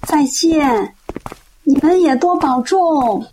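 Kind earnest middle aged auntie, radio play, bit high pitched, gently praising, faint music under it. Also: female voice, expressive, slow pace, rough recording. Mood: warm farewell. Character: kindly middle-aged auntie, earnest, encouraging, grateful believer. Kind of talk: radio drama acting.

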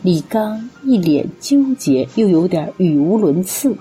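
一位女性旁白，照着稿子讲述广播剧故事。. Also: female voice, on the low side, animated, unhurried, warm tone, soft background music. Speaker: narrator. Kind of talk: scripted narration of an audio drama.

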